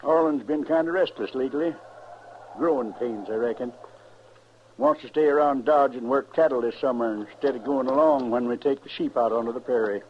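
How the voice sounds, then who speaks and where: A man's voice: medium pitch, normal speed, lightly acted. A muffled, lightly noisy old radio western carries an actor as a stubborn frontier sheepherder. He is a protective, devout father with folksy, drawling rural Western American speech. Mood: uneasy, folksy.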